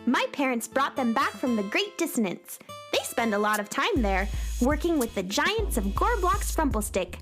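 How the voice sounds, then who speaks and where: A woman's voice: high voice, big pitch swings, normal speed, fairly bright tone, soft background music. A woman acting a perky, good-hearted little girl who talks earnestly and chattily in a children's radio drama. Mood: chatty, restless.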